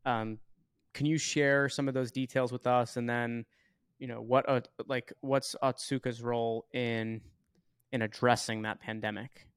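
A man's voice: mid-range voice, little pitch movement, measured pace, smooth, no echo, clean studio sound. A man hosting a podcast interview, asking questions in a friendly way and stumbling over his words a little. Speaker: podcast host.

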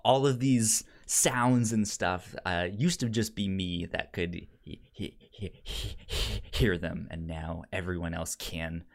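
Male podcast co-host, slightly low-pitched, with a stutter that repeats syllables, casual discussion style. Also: slow, vocal fry, hardly any pauses.

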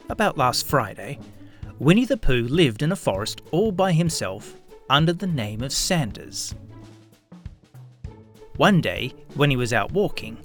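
A man hosting and narrating a children's story in a theatrical, expressive, deliberate storyteller style. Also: medium pitch. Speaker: host and narrator of a radio play show.

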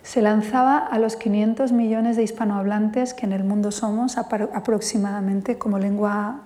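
A woman from Spain with a Spanish accent, giving a thoughtful, measured talk as a poet and lingering on her words. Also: mid-range voice, fairly even intonation, mellow. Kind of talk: reflective lecture with poetry reading. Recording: long reverb from a hall.

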